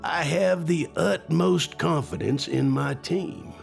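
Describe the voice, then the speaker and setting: An actor playing an earnest, steadfast military general with a gravelly voice in an audio drama. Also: male voice, fairly high pitch, takes it slowly, faint music behind, exaggerated voice. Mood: earnest, firm.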